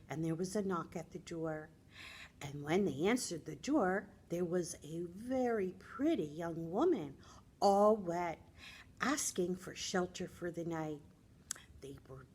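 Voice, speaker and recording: Woman, American accent, lowish husky voice, lively swooping pitch, children's storytime presenter, expressive and teacherly. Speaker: children's librarian presenting books. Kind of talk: storytelling talk for young children.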